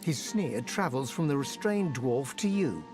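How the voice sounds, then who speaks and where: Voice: medium pitch, lively intonation, unhurried, over music. A narrator reading dramatic scripted storytelling for a fantasy game.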